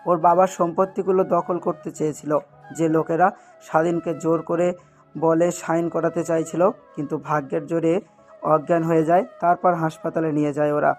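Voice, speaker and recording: An earnest, protective uncle, acted by a man in an audio love-story drama. His voice sits a little high and stays level, with a soft, mellow tone and music playing behind. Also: level tone, moderate pace, natural voice. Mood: grave, explaining.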